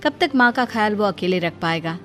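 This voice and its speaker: A woman's voice: mid-range voice, expressive, brisk, fairly bright tone, faint music behind. A woman narrating a cartoon story in a wry, storytelling manner.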